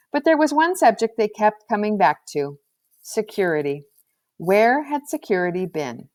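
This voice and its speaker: A woman reading a children's novel aloud as scripted narration, in an expressive storybook manner. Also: lowish voice. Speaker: audiobook reader.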